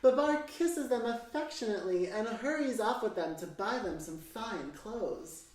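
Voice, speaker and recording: A woman reading a children's story aloud in an expressive, measured, theatrical way. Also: on the high side. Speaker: storybook narrator. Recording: very echoey room, distant mic, music. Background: piano music.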